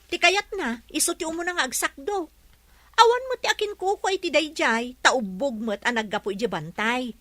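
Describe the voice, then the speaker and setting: Sharp, complaining neighbour woman. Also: high voice, expressive, moderate pace, no echo. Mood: indignant. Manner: complaining, insistent. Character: indignant complaining female neighbour, tattling and blunt. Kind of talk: radio drama acting.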